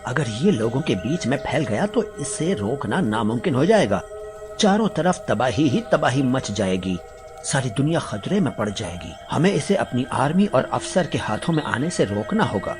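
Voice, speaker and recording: A man voicing a principled, alarmed scientist in an animated story, speaking with earnest urgency. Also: medium pitch, normal speed, music in the background, lightly acted. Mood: grave warning. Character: principled, alarmed male scientist warning of disaster. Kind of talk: animated Hindi story voice acting.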